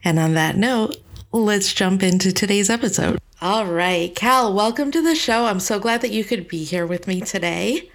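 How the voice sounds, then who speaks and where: A woman's voice: mid-range voice, moderate pace. A woman hosting a travel podcast from New England, talking in an upbeat, chatty way and laughing often. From New England, United States. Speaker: podcast host and travel planner. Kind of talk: casual travel podcast chat.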